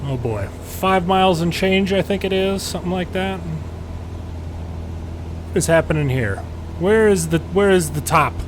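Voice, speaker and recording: American man, slightly higher pitch, casual gaming commentary, sardonic, exasperated, noticeable background hum. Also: measured pace, pauses often. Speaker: gaming video host, pilot and former air traffic controller. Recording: steady background hum and noise.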